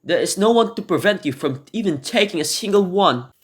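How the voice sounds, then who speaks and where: A woman playing a cunning, murderous noblewoman who feigns grief and mercy, theatrical and deliberate, in an amateur radio drama. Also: on the low side, expressive, background noise, lightly acted. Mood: coaxing.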